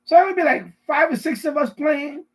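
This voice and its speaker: A middle-aged man hosting a casual live stream, rambling and often repeating himself, with a husky voice. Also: very high-pitched, fairly quick. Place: basement.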